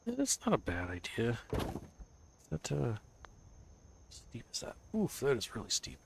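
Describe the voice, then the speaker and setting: A man's voice: medium pitch, wildly swooping pitch, a little slow, gravelly, noisy background. A man with a cold, stuffy and sniffly, casually thinking aloud as he builds in a game on a live stream. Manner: thinks aloud, muttering to himself and chuckling.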